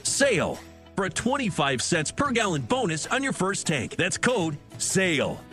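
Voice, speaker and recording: A man's voice: measured pace, soft background music. A man reading an upbeat, emphatic advertisement. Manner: energetic, emphatic pitch. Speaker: ad announcer. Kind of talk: upbeat ad read.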